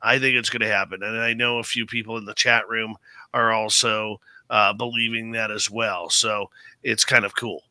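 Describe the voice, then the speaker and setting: Brief: male talk radio host, sharp forceful tone, dry close sound, keen and emphatic. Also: lowish voice, level tone, normal speed, smooth, no echo, rough recording. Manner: enthusiastic, emphatic. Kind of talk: radio interview.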